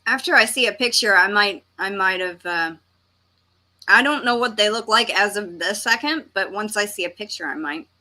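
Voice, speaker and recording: A woman's voice: medium pitch, moderate pace, bright, forceful tone. A woman from Texas, hosting a livestream and casually reading comments aloud. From Texas, United States. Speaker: livestream host.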